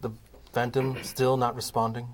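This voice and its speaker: A man narrating the stage directions of an amateur comedy radio play in a plain, steady reading voice. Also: mid-range voice, normal speed.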